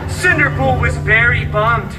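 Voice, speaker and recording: A man's voice: extremely high pitch, measured pace, heavy background noise. A man playing a wisecracking, hyperactive antihero who tells a comic bedtime story theatrically, with sarcastic, camp asides to the audience. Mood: mock dramatic, sarcastic. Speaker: theme park live show performer. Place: outdoor theme park show, amplified, filmed from the audience. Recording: loud music and crowd, distant outdoor amplified sound.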